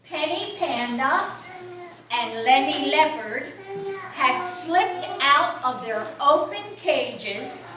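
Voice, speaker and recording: Slow, high-voiced woman storyteller in an echoey room. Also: animated, a crowd in the background. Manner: slow, simple phrasing addressed to children. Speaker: storyteller and host of a children's puppet show. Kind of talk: storytelling to young children. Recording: very echoey room, distant mic, music behind.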